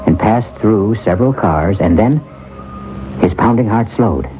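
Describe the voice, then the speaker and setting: A man narrating a 1970s radio drama in a measured, somber storytelling manner. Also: fairly low pitch, expressive. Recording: thin phone-like old radio with loud music.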